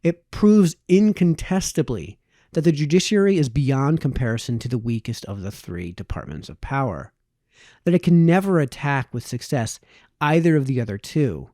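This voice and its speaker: A man narrates a formal old text with measured, emphatic phrasing. His voice is relaxed and mellow, and his pitch moves up and down in a lively way. The recording is close and dry. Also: no room sound. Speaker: reader, narrator. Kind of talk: read-aloud of a historical political text.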